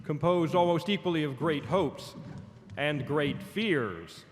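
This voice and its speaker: A man as a grave orating statesman, solemn, slightly high voice, radio play, big echoing hall. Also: lively intonation, takes it slowly, loud music behind the voice. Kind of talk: live audio drama acting. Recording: echoey hall, live stage recording.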